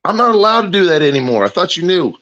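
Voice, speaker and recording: A man's voice: high voice, sing-song, quick pace, a bit bright, lo-fi sound. A man with a South Texas accent in casual chat, brash, profane and mocking as he crashes a show. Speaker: guest crashing a podcast.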